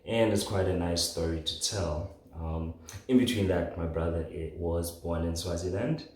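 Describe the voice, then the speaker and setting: Deep-voiced young man, very echoey room. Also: steady tone, normal speed, a little mellow. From southern Africa, born in Zambia. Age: late 20s. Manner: informal, conversational, often says "like". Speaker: podcast host. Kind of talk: casual solo podcast storytelling.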